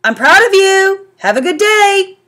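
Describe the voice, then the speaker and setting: A woman's voice: mid-range voice, sing-song, measured pace, a bit bright. A woman voicing an impatient, teasing middle-aged father who talks sternly. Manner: stern, exasperated.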